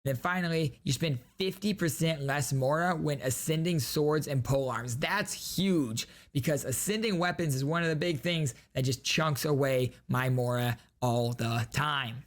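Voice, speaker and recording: A man's voice: a little high, measured pace, few pauses. A man hosting a video game reaction, explaining details with enthusiasm. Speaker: reaction video host, gaming streamer.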